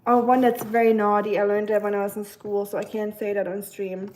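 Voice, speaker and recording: A woman's voice: mid-range voice, level tone, fairly quick, a little mellow. A young woman chatting casually on a live stream while cooking at home, reading comments with lots of fillers and giggly asides. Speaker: livestreamer.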